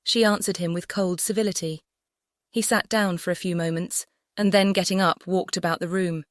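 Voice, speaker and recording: A woman reads literary narration for an audiobook in a slightly low voice. Her phrasing is careful and composed, and the recording is close and free of room sound. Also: fairly even intonation, normal speed, no room sound.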